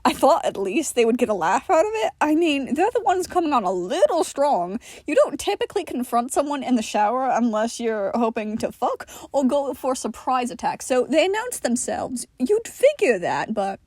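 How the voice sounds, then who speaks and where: Lady doing a cocky joker guy, teasing and flippant, kinda high voice, audiobook dialogue. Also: big pitch swings, normal speed, few pauses, a little mellow, no echo, clean studio sound. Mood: surprised, speculating. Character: cheeky, obnoxious, joking man.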